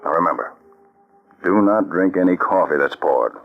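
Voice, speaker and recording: An actor playing a firm, calm counterspy chief in an old radio drama, speaking in a measured, authoritative way. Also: male voice, deep voice, vocal fry, soft background music, exaggerated voice. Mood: sympathetic. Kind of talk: 1940s radio acting. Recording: dull lo-fi old radio recording.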